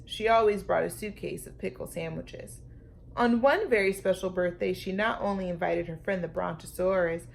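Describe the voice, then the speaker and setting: A woman reading a children's story aloud with expressive, deliberate phrasing and dramatic emphasis. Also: medium pitch, gravelly, slight background noise. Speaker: storytime reader.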